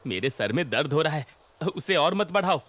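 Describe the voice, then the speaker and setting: A man's voice: fairly high pitch, expressive, normal speed, a bit bright. A man voicing a greedy, nervous village milkman for a children's cartoon story, with a flustered, stammering, exclamatory manner. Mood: irritated. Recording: music behind the voice.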